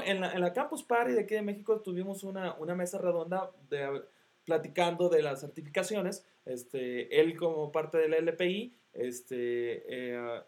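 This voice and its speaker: A man with a Mexican accent hosting a casual tech podcast, rambling with many fillers and self-interruptions. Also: on the high side. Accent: Mexican Spanish. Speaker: podcast host.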